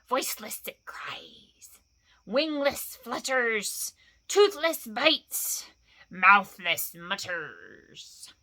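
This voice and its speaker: A woman's voice: fairly high pitch, very animated, very slow, bright, forceful tone, exaggerated voice. A woman voicing a wretched, sneaky old cave creature who hisses and whispers in broken grammar. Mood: menacing. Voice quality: hissing, whispery. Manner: broken grammar, repeats "my precious".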